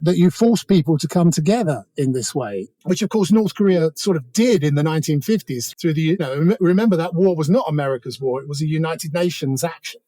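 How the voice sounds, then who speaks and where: A man's voice: on the high side, expressive, brisk, few pauses, dark tone, dry recording. A man with a British accent giving conversational political commentary as an interview guest, talking discursively with many asides. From Britain.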